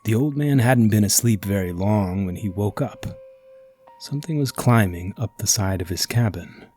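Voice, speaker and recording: A man reading a scripted ghost story as narrator, dramatic and at times hushed. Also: fairly low pitch, expressive, normal speed, faint music behind.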